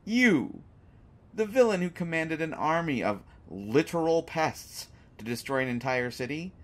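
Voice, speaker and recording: A man's voice: a little high, sing-song, a little slow, a bit bright. A man playing a sarcastic, unafraid hostage who teases a villain in an audio drama, with exaggerated mock reactions. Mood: teasing, incredulous. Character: sarcastic, unafraid male hostage taunting a lovesick supervillain. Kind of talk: scripted roleplay audio drama monologue.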